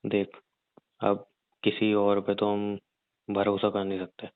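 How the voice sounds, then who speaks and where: Man acting a slangy low-voiced gangster husband, phone-like sound. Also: completely flat delivery, slow, clear, resonant tone, no echo. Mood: scheming, explaining. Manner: slangy, crude, mild swearing. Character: rough young gangster henchman husband, crude, teasing yet protective. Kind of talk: audio roleplay drama, conversational. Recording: narrow phone-like dry sound.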